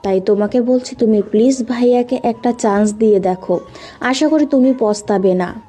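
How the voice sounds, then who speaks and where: A woman's voice: on the high side, moderate pace, dark tone, close to an everyday voice. A woman playing an earnest young woman, a loyal sister, in an audio story drama. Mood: earnest, pleading. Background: background music.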